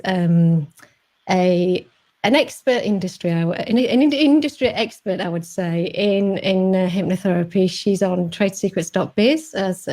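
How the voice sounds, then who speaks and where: A woman's voice: mid-range voice, a little mellow. A woman with a Sheffield accent hosts a Facebook Live interview, talking over a video call. She is chatty and loose, full of fillers and false starts. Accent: Sheffield English. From England. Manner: chatty, with many fillers and restarts. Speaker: host.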